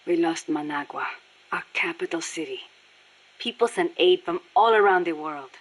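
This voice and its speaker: A fairly low-pitched female revolutionary with a Nicaraguan Spanish accent. She is a video game guerrilla, solemn and earnest, carrying a bitter passion for her cause. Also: moderate pace, breathy edge, poor audio. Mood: bitter, resolute. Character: passionate, embittered young Nicaraguan Sandinista guerrilla woman. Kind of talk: scripted video game voice acting.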